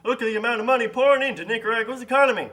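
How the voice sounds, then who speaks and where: A man's voice: extremely high pitch, racing, bright, forceful tone. A man playing a smug, pushy, upbeat salesman type in a scripted puppet show.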